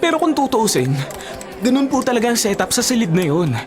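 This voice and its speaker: A woman playing an anxious, loyal young friend with a Filipino accent, in dramatic, halting radio drama acting. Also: on the high side, big pitch swings. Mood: worried, disbelieving. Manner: dramatic, halting when distressed, breathless exclamations. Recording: loud dramatic music behind. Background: loud music.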